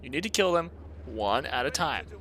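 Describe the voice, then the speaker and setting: A man casually commentating a strategy game replay, excitable and laughing often, talking to his chat, his voice a bit hoarse. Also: medium pitch, moderate pace, a little hiss or hum. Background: video game gunfire and artillery.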